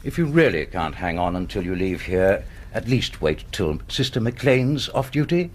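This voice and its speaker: An actor playing a brisk, sardonic, superior British surgeon in a radio drama, with a dry lecturing manner. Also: male voice, low-pitched, very animated, slight background noise. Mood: stern, wry.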